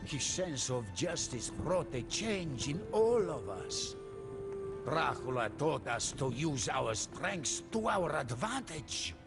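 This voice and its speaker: An actor playing a solemn storyteller who recounts a medieval warlord's tale in dramatic game cutscene narration. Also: male voice, a little high, big pitch swings, takes it slowly, creaky, full character voice. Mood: grave, reverent. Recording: music behind the voice.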